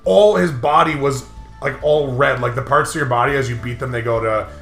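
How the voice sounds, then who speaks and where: A man's voice: mid-range voice, fairly quick, creaky, a bit bright. A man casually bantering and telling gaming stories in an emphatic, exasperated way. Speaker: host of a gaming commentary video. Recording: roomy sound with music behind.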